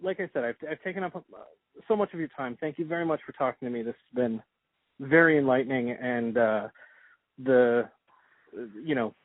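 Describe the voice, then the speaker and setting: A tinny, phone-like recording of a man in a casual interview. His pitch sits in the middle, and he is very polite, tripping over repeated words as he chats. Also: moderate pace, no room sound. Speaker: interviewer and podcast host. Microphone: phone or call line. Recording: tinny, dry phone-like line.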